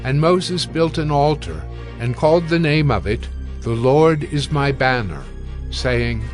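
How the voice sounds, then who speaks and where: A man narrating scripture for a dramatized reading in a measured, solemn manner. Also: fairly high pitch, soft background music.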